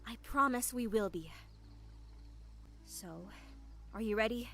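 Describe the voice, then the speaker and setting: A woman's voice: a little high, slow, a bit bright, faint music behind. A woman playing a sensible, reassuring female pony leader, composed and taking charge, in cartoon drama style. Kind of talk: animated fan audio drama acting.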